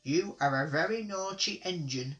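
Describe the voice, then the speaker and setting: A man's voice: a little high, a bit bright, muffled recording, lightly acted. Man playing a pompous stern railway boss. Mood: stern official. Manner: measured, impressive pauses.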